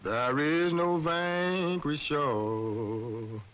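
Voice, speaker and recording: A man's voice: on the high side, extremely slow, a bit bright. A man acting a solemn figure addressing a boy in a dramatic old film. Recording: dull old film soundtrack.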